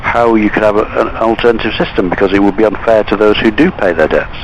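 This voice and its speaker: A man on a phone call, politely disagreeing and explaining in a measured, hesitant way. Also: lowish voice. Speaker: bank representative taking a call. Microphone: telephone call. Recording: noisy recorded phone call.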